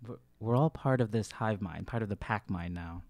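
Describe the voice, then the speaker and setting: A man's voice: on the low side, moderate pace, darkish tone. A man banters casually as a player in a tabletop game. He speaks conversationally, moving in and out of character. The sound is dry and echo-free.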